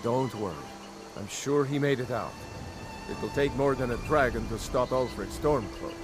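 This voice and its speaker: An actor playing a weary, loyal rebel soldier on the run in a fantasy video game, speaking with earnest gravity. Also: male voice, medium pitch, normal speed, over music, close to an everyday voice. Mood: reassuring.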